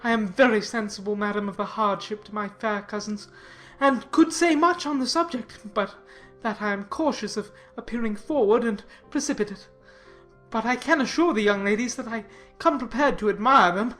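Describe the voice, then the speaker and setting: Woman acting a pompous young clergyman, highish voice. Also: normal speed, fairly bright tone, soft background music, lightly acted. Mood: pompous, flattering. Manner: formal, solemn, self-important. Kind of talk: dramatized classic novel reading.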